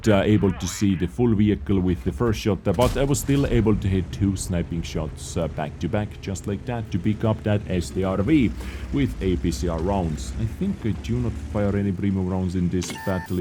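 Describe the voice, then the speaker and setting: A man's voice: deep voice, moderate pace, background noise. A man who speaks English as a second language, giving an analytical, conversational voice-over commentary on his own gameplay. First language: not English. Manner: analytical, conversational, plain-spoken. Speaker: gaming channel host.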